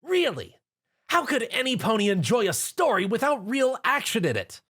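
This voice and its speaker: A man's voice: very high-pitched, wildly swooping pitch, moderate pace, fairly bright tone, breathy edge, pitched above the performer's own voice, full character voice. A man doing a brash tomboy pony. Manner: blunt, scoffing. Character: brash sporty tomboy pegasus mare.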